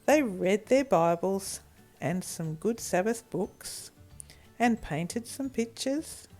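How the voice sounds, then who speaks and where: A woman narrating a children's story in an expressive storytelling style. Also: medium pitch, slow, soft background music.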